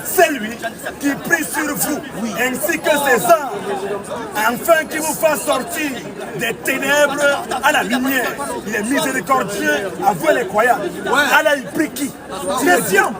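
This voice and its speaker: A man's voice: extremely high pitch, slow pace. A man preaching in a heated street debate, insistent and combative, repeating his phrases and challenges. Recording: distant mic amid loud outdoor crowd.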